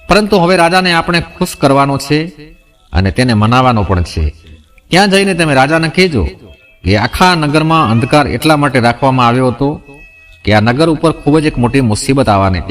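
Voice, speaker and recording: A man narrating a scripted moral folk tale as a storyteller. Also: fairly high pitch.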